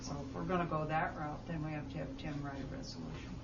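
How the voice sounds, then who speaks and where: A woman's voice: deep voice, little pitch movement, fast. A woman speaking as a board member in an informal meeting discussion, explaining procedure step by step. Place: meeting room. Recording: roomy, dull, noisy distant meeting recording.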